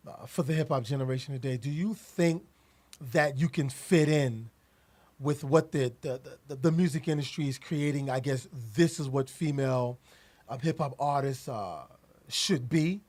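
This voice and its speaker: A man's voice: medium pitch, normal speed, darkish tone. A man interviewing an artist, asking long questions with frequent restarts and repeated words.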